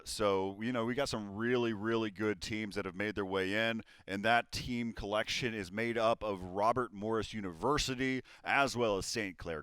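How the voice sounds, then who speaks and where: A man's voice: lowish voice, a bit bright, clear, resonant tone, no room sound, studio quality. A young man casting esports, hosting a live desk wrap-up in an upbeat way with many fillers. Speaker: esports caster and host.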